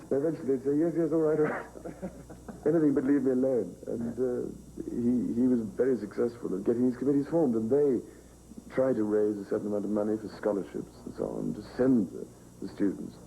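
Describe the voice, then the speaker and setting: Wry man with a mellow voice. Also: mid-range voice, a little fast, vocal fry, a little hiss or hum, low-quality recording. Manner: wry, anecdotal, chuckling. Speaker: interviewee. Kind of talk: documentary interview.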